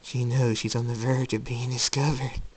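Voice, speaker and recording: A man's voice: medium pitch, little pitch movement, normal speed, a little mellow, breathy edge, recorded from a distance, natural voice, poor audio. A man voicing an insane, menacing inner voice that taunts its victim in an amateur story reading. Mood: cold, accusing. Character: insane sinister voice in a tormented young man's head, taunting.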